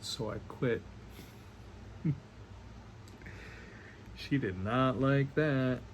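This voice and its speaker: Man acting a doting, rambling father, noisy recording. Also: medium pitch. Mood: defensive, hurt. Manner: halting, earnest, rambling, with nervous stammers. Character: doting, rambling middle-aged father confessing to his daughter. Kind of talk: one-act play monologue performed on video call. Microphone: video call. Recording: noisy video call audio.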